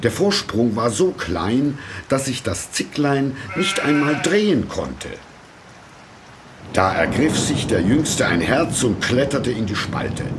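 A man tells a scripted fairy tale as its narrator. He is very unhurried and deliberate, with a wide, expressive pitch range, and music plays behind him.

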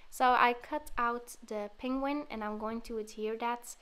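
A woman's voice: a little high, a little slow, clean tone. Woman with a cold narrating a craft tutorial. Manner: relaxed step-by-step explaining with fillers. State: has a cold, slightly sore throat. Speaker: card-making tutorial host.

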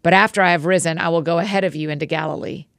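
A calm, gentle male teacher speaks with quiet authority, as a woman reads his lines. The voice sits low with a slight gravelly fry. It is a dry, close recording. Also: no room sound. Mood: solemn. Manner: measured, solemn reading.

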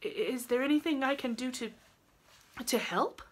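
Storybook heroine: young, high-pitched, hesitant and earnest, voiced by a female reader. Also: sing-song, moderate pace, fairly bright tone, exaggerated voice. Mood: hesitant, worried. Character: anxious, tender-hearted, squeamish young woman.

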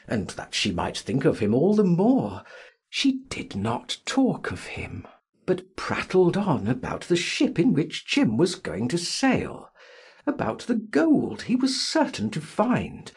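Guy doing a dramatic audiobook read, really raspy breathy voice, pitch swooping all over. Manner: theatrical, expressive, measured reading. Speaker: audiobook narrator. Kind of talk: dramatic literary audiobook narration.

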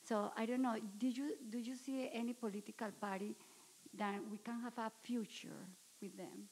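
A woman from Medellín, a native Spanish speaker with a paisa accent, asking an earnest, slightly halting question from the audience at a lecture hall microphone. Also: on the high side, darkish tone, breathy edge. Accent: Colombian, Medellín (paisa). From Medellín, Colombia. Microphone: standing audience microphone.

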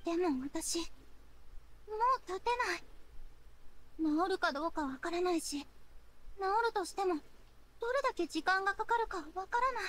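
A woman's voice: very high voice, normal speed, long pauses, a bit bright. A woman voicing an earnest, determined young girl in an anime game. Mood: downcast, doubtful.

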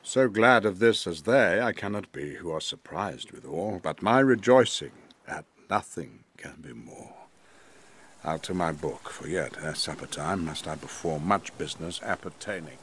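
An actor playing a grave magician father, measured and solemn, speaking a Shakespearean soliloquy. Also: sits low, lively intonation, a bit bright, slightly rough, exaggerated voice. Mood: solemn, pleased.

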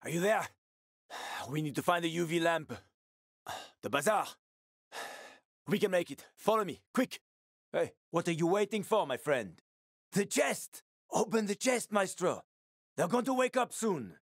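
A man's voice: high-pitched, expressive, unhurried, long pauses, no echo, clean studio sound, exaggerated voice. A male actor voicing a wry, streetwise survivor guide and ex-soldier in a video game, with colloquial, foul-mouthed talk. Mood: wary, then urgent, desperate. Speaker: video game companion character.

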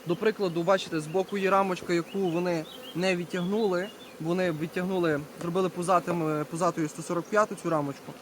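A man's voice: sits high, fairly even intonation, quick pace, hardly any pauses. A man giving a casual hands-on demonstration as a beekeeper, talking to viewers while he works and repeating short phrases. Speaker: beekeeper presenting a video. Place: outdoors at an apiary. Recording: outdoor recording with buzzing bee noise. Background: buzzing bees and insects.

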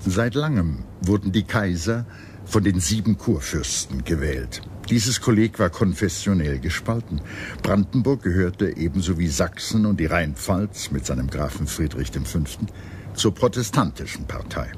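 A man reading scripted historical documentary narration in a measured, declarative storytelling manner. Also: sits low, animated, darkish tone. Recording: clear background noise and ambience.

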